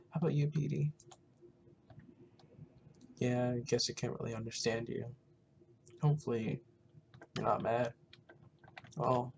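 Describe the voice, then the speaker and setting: Creaky-voiced man narrating game roleplay. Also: medium pitch, background noise. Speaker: gaming roleplay video maker. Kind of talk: casual roleplay narration over gameplay.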